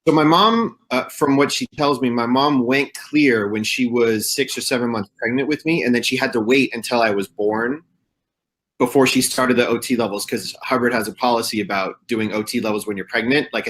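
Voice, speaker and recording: A man's voice: mid-range voice, a bit bright. Man, interview guest, plain explanatory tone.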